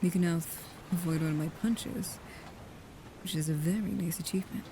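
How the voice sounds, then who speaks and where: A woman playing a devoted, proud and guarded vampire protector in an intimate ASMR roleplay, speaking softly and haltingly, often dropping into a whisper. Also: lowish voice, close to an everyday voice. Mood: calmly proud. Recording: clear background noise with ambience.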